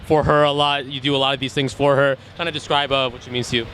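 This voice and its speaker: A man interviewing a player outdoors, upbeat and affirming as he asks quick questions. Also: medium pitch, level tone, fairly bright tone, slight background noise. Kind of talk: casual interview.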